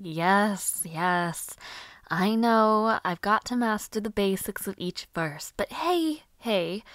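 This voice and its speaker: A woman's voice: medium pitch, gravelly, no echo, clean studio sound. A woman playing a cheerful, headstrong young noble lady mage in an audio roleplay drama, with genteel, old-fashioned phrasing. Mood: eager, determined. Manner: genteel, old-fashioned, chatty phrasing with soft laughs and sighs.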